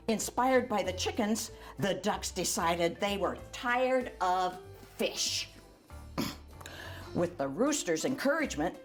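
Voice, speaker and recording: Older woman reading a storybook, low and unhurried. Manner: animated, chatty, pointing things out to young listeners. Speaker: volunteer storyteller. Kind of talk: children's storybook read-aloud with asides.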